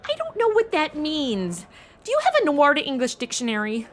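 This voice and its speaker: A woman's voice: high-pitched, wildly swooping pitch, normal speed. A woman playing a flighty, exasperated young temp secretary in a comedy audio drama, talking in breezy modern slang. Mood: puzzled. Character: flighty young female temp secretary, indignant party girl.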